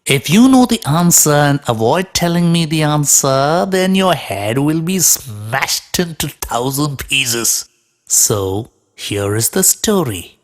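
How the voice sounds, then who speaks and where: A man voicing a sly, taunting ghostly spirit who poses riddles in a children's cartoon, with a husky, theatrical, drawn-out delivery. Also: a little high, expressive. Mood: sly menace. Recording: music under the voice.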